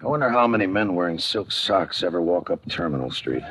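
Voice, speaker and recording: An actor playing a weary, sardonic homicide lieutenant in clipped, wry 1950s radio crime drama style. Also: male voice, low-pitched, fairly quick, a little hiss or hum. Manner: clipped, deadpan, wry delivery. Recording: vintage radio recording with prominent music.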